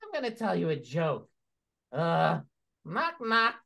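A man's voice: fairly high pitch, wildly swooping pitch, slow, creaky, bright, forceful tone, full character voice. A man voicing a bashful, hesitant little puppet telling jokes in a live puppet show. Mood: shy, eager.

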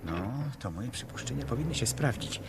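An actor playing a calm, confident treasure-hunting sleuth in a 1970s Polish radio drama, reasoning deliberately. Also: male voice, medium pitch, vocal fry. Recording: noisy 1970s radio play recording.

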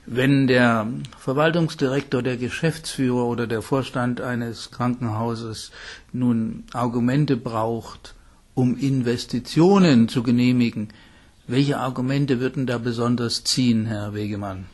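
An older man working as a journalist, putting measured interview questions. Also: medium pitch, fairly even intonation, a little mellow. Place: telephone interview.

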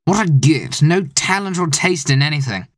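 A teenage boy voicing a vain, pompous gentleman detective with theatrical delivery in an amateur audio play. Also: a little high, animated, normal speed, no echo, studio quality, pitched below the performer's own voice, natural voice. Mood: disdainful.

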